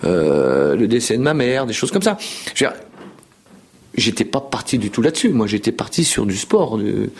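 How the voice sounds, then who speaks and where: A man's voice: fairly low pitch, big pitch swings, moderate pace, breathy edge. A man in his fifties telling his story in a casual interview, rambling with frequent repeated words and fillers. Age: 50s. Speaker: show jumping rider.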